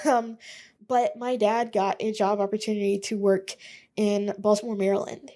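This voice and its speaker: A woman's voice: mid-range voice, level tone, moderate pace, dark tone. A young woman from Alabama hosting her own podcast, rambling chattily with lots of fillers. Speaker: podcast host. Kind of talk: casual solo podcast monologue.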